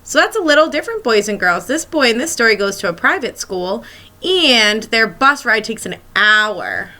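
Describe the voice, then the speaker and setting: A woman's voice: a little high, big pitch swings, normal speed, sharp tone. A woman schoolteacher reading a children's picture book aloud, expressively and as if speaking directly to young listeners.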